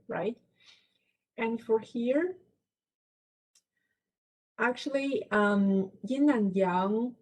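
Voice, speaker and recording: Slow-paced Chinese-accented woman lecturing. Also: mid-range voice, a little mellow, low-quality recording. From China. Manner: explains step by step, checks in with "right?"; slips in light laughs. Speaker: guest lecturer. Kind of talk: library book talk presentation.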